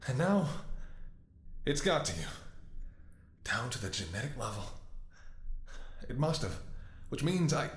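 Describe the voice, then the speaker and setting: A man playing a devoted, desperate doctor caring for his infected lover in an emotional, halting ASMR roleplay, with an echoing sound. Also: mid-range voice. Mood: anguished, angry. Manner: halting, stammering, emotional delivery. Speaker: voice actor in an audio roleplay. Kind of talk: ASMR dramatic roleplay monologue.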